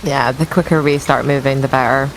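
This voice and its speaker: A woman's voice: very deep voice, fairly even intonation, brisk, rough recording. A woman playing a tabletop roleplaying game, casually speaking in character in her everyday voice. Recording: faint rain ambience noise.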